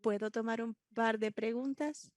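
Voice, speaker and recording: A woman working as a simultaneous interpreter for a panel discussion, speaking in a halting way with frequent restarts and self-corrections as she keeps up with the speakers. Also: on the high side, steady tone, no echo.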